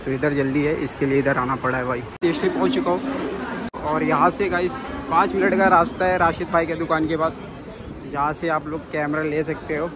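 A man's voice: fairly high pitch, steady tone, a little fast, a crowd in the background. A man vlogging casually to viewers while walking busy streets, chatty and addressing them as brother and guys. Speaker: moto vlogger. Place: busy city streets and a station outdoors. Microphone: action camera carried while walking. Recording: loud music over street noise.

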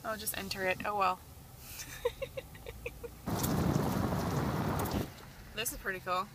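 A young woman filming a casual road trip vlog, teasing and excitable, recorded on a handheld phone outdoors and in a car. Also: medium pitch, slow pace, background noise. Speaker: vlogger. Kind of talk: casual travel vlog chat.

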